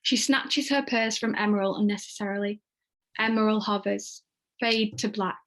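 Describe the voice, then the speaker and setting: A woman's voice: medium pitch, fairly even intonation, normal speed, a little hiss or hum. A woman reading stage directions as narrator in a scripted table read.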